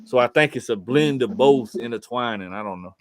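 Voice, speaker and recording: A man's voice: mid-range voice, fairly quick, noisy background. A man from Florida with a Southern accent, a guest on a casual podcast, telling loose, profane stories full of restarts. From Fort Pierce, Florida. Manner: laid-back, profane storytelling with frequent restarts. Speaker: guest artist.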